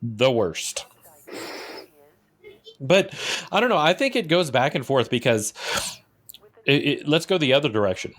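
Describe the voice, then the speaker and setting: A man with an average-pitched voice co-hosts a casual podcast. He talks informally and gives his opinions freely, in a loose conversational style. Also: sing-song, a little slow, pauses often, fairly bright tone. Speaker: podcast co-host.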